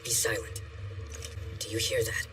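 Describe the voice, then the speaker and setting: An actor playing a cold, bloodthirsty army commander in an animated war drama, speaking with deliberate, commanding menace. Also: male voice, deep voice, distant mic, music in the background, natural voice. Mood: cold relish. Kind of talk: English-dubbed animated war drama acting.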